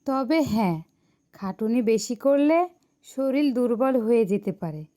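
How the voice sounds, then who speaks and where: A woman playing a caring, devout mother in a cartoon, gently advising and explaining. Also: fairly high pitch, animated, unhurried. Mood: caring, gentle. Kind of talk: cartoon dialogue. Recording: loud music behind the voice. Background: music.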